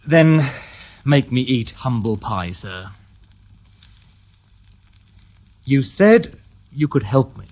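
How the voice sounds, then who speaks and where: A man's voice: medium pitch, lively intonation, slow pace, a little mellow. An actor playing a sardonic British police inspector in a radio crime drama, dry and mock-polite in his questioning. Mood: brisk. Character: sardonic male detective inspector, dogged and wry.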